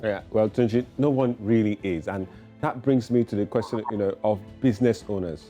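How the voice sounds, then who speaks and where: A man's voice: fairly low pitch, moderate pace, dark tone, lo-fi sound, soft background music. A man anchoring a morning news show, presenting with many fillers and hesitations.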